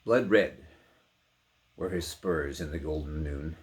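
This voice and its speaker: A man giving a theatrical, intense dramatic recitation of poetry, swinging between hushed delivery and full-throated cries. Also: rumbling low, slow pace. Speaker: poetry performer.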